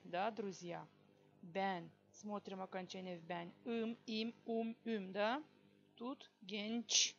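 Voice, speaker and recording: A woman teaching a language lesson, slowly dictating example sentences word by word and checking in with her students. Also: medium pitch, a bit bright, dull audio. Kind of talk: online language lesson, explaining exercises.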